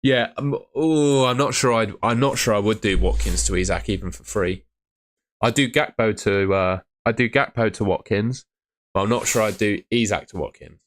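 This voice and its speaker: A man's voice: fairly low pitch, moderate pace, a little hiss or hum. A young man who is losing his voice, streaming from his room at home and answering viewer questions in a blunt, chatty way. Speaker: fantasy football streamer.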